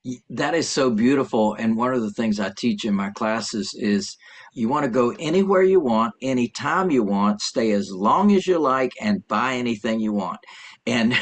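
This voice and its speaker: An older man hosting an interview podcast, upbeat and enthusiastic as he welcomes and questions his guest. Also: mid-range voice. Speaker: podcast host.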